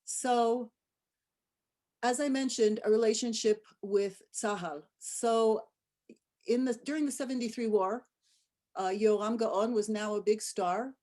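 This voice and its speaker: A woman's voice: a little high, measured pace, warm tone. Chatty woman giving a music lecture. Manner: chatty, with frequent fillers and restarts. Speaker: music director giving a talk. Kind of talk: casual online lecture.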